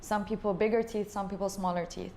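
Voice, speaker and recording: A woman originally from Iran, a dentist, giving clear and measured explanations as an interview guest. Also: medium pitch, fairly even intonation, darkish tone, a little hiss or hum.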